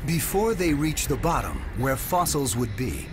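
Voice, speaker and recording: A man reading scripted narration for a nature documentary in a measured, storytelling delivery. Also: mid-range voice, very animated. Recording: narration over loud music score.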